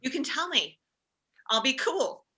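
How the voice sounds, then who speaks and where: Impulsive, overprotective mom played by a woman: self-justifying, very bright forceful voice, animated pitch. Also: measured pace, husky, full character voice. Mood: prying. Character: impulsive, dramatic, overprotective middle-aged mother. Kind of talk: comedy script table read.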